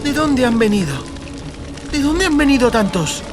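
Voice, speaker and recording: A woman's voice: high-pitched, lively intonation, normal speed, over music. A woman playing a frightened, defiant girl in an audiobook drama, crying out emphatically. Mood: frightened wonder. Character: frightened, defiant girl refusing a dark power.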